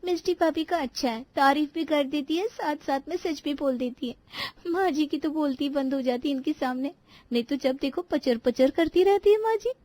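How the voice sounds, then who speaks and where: Woman as a high-pitched, chatty young daughter-in-law. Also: normal speed, dark tone, no echo, lightly acted. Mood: teasing. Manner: teasing, chatty. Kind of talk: animated family drama voice acting in Hindi.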